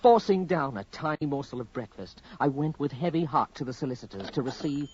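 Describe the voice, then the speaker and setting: Tormented young man, wildly swinging pitch. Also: animated, brisk, darkish tone, slightly rough. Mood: haunted, despairing. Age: young adult. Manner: anguished, faltering. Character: tormented young man, haunted and wretched, narrating his downfall. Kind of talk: radio horror drama acting. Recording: dull, lo-fi vintage radio recording.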